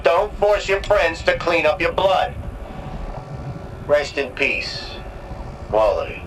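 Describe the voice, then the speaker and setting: Blunt, fed-up station boss, higher-pitched. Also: male voice, sing-song, takes it slowly, background noise. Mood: exasperated. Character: blunt, fed-up male station chief addressing staff. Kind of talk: video game voice acting, staff announcement. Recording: machinery ambience behind voice.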